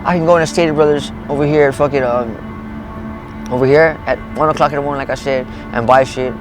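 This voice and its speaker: A man's voice: medium pitch, steady tone, quick pace, music in the background, poor audio. A man in his late thirties telling his story in a street interview, rambling, swearing a lot and restarting his sentences. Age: 30s. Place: outdoors in a parking lot.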